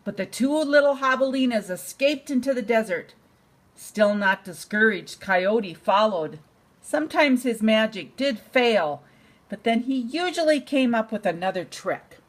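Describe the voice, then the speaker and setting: Slow, very animated older woman reading a storybook. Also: a little high. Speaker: grandmother reading to a grandchild. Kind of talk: expressive bedtime storybook reading.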